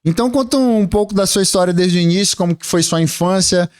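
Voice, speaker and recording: A man hosting a podcast, with a fairly high voice and an enthusiastic, slangy way of talking. The sound is dry and close, from a studio mic. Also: no echo. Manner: enthusiastic, slangy, quick back-channel replies. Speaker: podcast host. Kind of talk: casual podcast interview.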